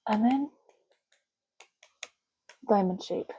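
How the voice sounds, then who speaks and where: A woman speaking softly and breathily in an unhurried ASMR roleplay, as a clerk helping a customer, gently lingering on and repeating words. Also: medium pitch, dull audio, slight background noise. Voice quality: soft, breathy, at times dropping to a whisper. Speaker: ASMR creator playing an ID card clerk in a roleplay. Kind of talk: ASMR roleplay, soft-spoken and casual.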